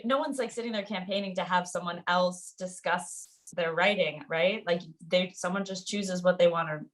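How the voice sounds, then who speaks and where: Woman, slightly low voice, casual podcast host, supportive, interested. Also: normal speed. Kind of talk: casual chat.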